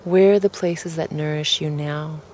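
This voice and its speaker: A woman with a low voice leads a guided relaxation. She speaks very slowly and calmly, setting out each soft invitation with care. Faint ambient noise sits behind her. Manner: calm, gentle, deliberate phrasing with pauses.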